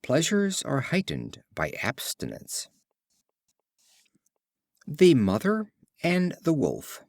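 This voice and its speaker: A man reading short fables as an audiobook narrator, in a measured, expressive storytelling delivery. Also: a little high, no room sound, studio quality.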